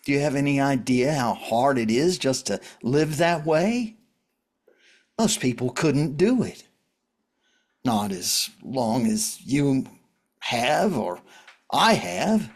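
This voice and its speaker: An actor playing a grieving, pleading widower and recovering addict in a drama reading, speaking earnestly and haltingly. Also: male voice, medium pitch, sing-song. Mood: earnest, consoling. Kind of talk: script reading of a stage drama.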